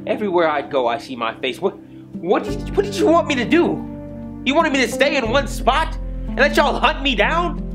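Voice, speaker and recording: A man's voice: fairly high pitch, animated, moderate pace, long pauses, over music, voice pushed up, natural voice. A man playing a defiant, anguished teenage boy on the run, speaking with impassioned, defensive anger in a homemade film scene. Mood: bitter, anguished.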